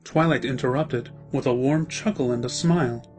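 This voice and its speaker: A man's voice: mid-range voice, moderate pace, over music. A man reading scripted story narration as the narrator of a fan-fiction drama.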